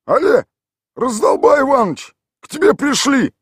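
A man voicing a brash, crude village man who talks loud and bossy. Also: high-pitched, big pitch swings, a little slow, husky, no room sound, studio quality, pitched above the performer's own voice, exaggerated voice.

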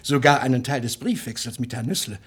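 A man's voice: mid-range voice, a little fast, fairly bright tone, slightly rough. A man playing a proud, stubborn, pedantic old husband in a German radio play, precise and pompous. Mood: self-satisfied. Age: older.

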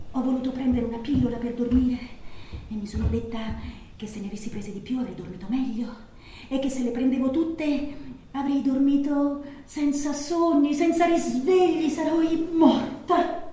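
An actress playing a desperate, abandoned lover pleading on the telephone in a theatrical monologue, halting and repetitive, swinging between hushed and anguished. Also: female voice, sits high, steady tone. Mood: subdued, confessing. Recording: very echoey, distant stage recording, noisy.

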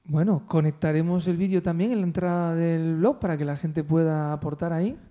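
A man's voice: fairly high pitch, steady tone, brisk, mellow, lo-fi sound. A man co-hosting a radio programme in a casual, chatty style. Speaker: radio show co-host.

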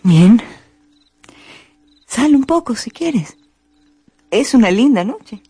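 An actress playing a tender, loving young bride with a husky, breathy voice in a radio drama. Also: female voice, on the high side, sing-song, slow pace, dark tone, no echo, soft background music, exaggerated voice. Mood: tender, soft.

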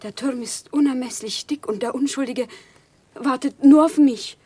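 A woman's voice: sits high, moderate pace, dark tone, husky, no room sound. An actress in a German radio drama playing a fervent, obsessive woman of the common people with a solemn, impassioned delivery.

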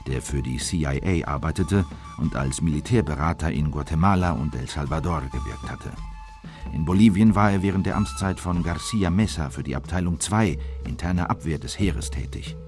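A man acting as an official who recounts a secret operation in measured, factual radio play narration. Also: a bass voice, darkish tone. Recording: music behind the voice.

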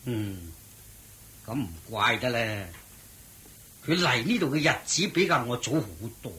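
An actor playing a proud middle-aged prince in a radio play, lordly and quick to surprise and anger. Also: male voice, medium pitch, big pitch swings, pushed and bright, slightly rough, full character voice. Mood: stern.

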